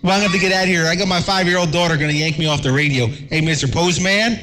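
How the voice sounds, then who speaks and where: Easygoing radio dude, kinda high voice, keeps saying man, bit of hiss. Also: racing. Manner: laid-back, says "man" often. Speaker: amateur radio operator. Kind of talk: casual radio chat.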